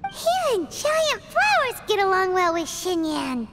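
A woman voicing a small, excitable, squeaky childlike fairy companion in a video game. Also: unhurried, full character voice. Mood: cheerful. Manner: speaks of self in third person. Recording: noisy game audio mix.

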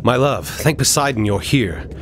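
A man's voice: mid-range voice, animated, normal speed, slight background noise, lightly acted. A man playing a noble, resolute superhero king in a video game, earnest and commanding. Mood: troubled. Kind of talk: dramatic video game acting.

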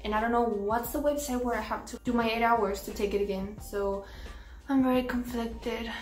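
A woman's voice: a little high, steady tone, moderate pace, distant mic. A teenage girl with a slightly Mexican-tinged accent, chatting casually and a bit tiredly in her bedroom about clothes she is trying on. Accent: Mexican-tinged English. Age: teens. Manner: chatty, rambling, tired and easygoing. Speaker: vlogger. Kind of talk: casual try-on vlog chat. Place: small bedroom. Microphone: camera across the room. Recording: roomy, from off the mic, soft music behind.

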